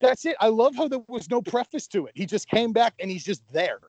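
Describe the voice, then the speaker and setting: A man's voice: very high voice, very animated, brisk, a little mellow, dry recording. Stammering, enthusiastic man on a podcast. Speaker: podcast co-host. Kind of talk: casual wrestling chat.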